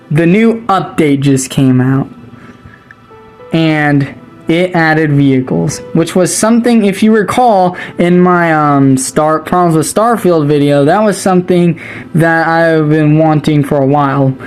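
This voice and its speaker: A gaming video creator talking through a game update in a casual, excitable, rambling way, with a slightly raspy voice after a long stream. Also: mid-range voice, takes it slowly. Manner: chatty and excitable, rambling with restarts. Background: faint ambient music.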